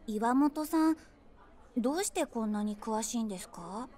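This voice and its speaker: A woman's voice: fairly high pitch, lively intonation, normal speed. A woman playing a cute, eager young woman on a date, gushing and sweetly polite. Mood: impressed. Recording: loud music behind the voice.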